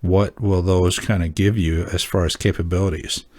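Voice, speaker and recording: Man, low-pitched voice, hobby channel host, casual explainer, informal rambling delivery, thinking aloud. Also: steady tone, moderate pace, a little mellow. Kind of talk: casual product demonstration and explainer.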